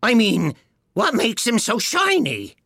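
A bossy mythical beast from a storybook, impatient and curt. The voice is raspy and creaky, drags slowly and lurches up and down in pitch. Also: huge pitch swings, a bit bright, no echo, clean studio sound, full character voice. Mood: brusque.

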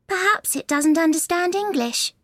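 A man's voice: very high-pitched, lively intonation, moderate pace, fairly bright tone, dry recording, clean studio sound, voice pushed up. A man voicing a polite, eager young storybook girl who chatters in a gushing, apologetic way. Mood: puzzled, hopeful. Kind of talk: storybook character reading.

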